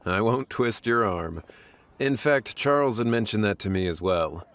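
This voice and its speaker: A man's voice: on the low side, a little slow, a bit bright, slight background noise. A man voicing an easygoing, appreciative tavern boss in a video game cutscene. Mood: pleased.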